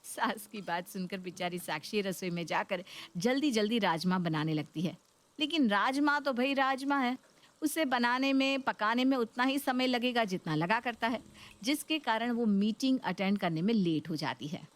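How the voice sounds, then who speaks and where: A woman's voice: on the high side, lively intonation, normal speed. A woman narrator reading scripted narration for a cartoon story.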